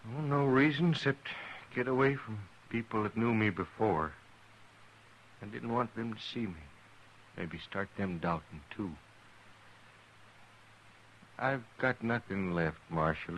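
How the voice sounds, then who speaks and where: An actor playing a broken older preacher who has lost his faith, speaking quietly and wearily in a 1950s radio drama. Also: lowish voice, unhurried, long pauses. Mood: despairing. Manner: quiet, weary, resigned. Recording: dull radio recording with hiss.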